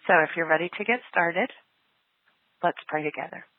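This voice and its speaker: A woman with a fairly low voice leads a guided prayer. The recording is thin and narrow, like it came over a phone line. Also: moderate pace, dry recording. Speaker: prayer leader.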